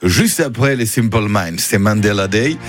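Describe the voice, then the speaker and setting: A man's voice: fairly low pitch, lively intonation, normal speed. A man hosting a radio show, presenting lightly and playfully. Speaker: radio host. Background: music.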